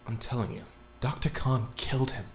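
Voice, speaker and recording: A woman playing a deep, sardonic young man. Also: normal speed, a little hiss or hum. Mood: teasing. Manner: flat, sardonic and teasing. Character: blunt, teasing young man.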